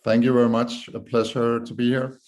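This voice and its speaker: A man's voice: medium pitch, little pitch movement, a little fast, darkish tone. A man with a Danish accent giving informal, explanatory answers as a guest in a conversational interview. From Denmark. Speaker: podcast guest, startup co-founder.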